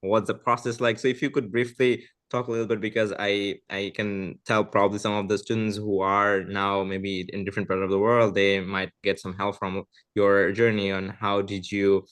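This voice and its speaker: Young man, podcast host, low-ish voice, hesitant with many fillers, casual interview. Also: flat delivery, normal speed, few pauses, fairly bright tone.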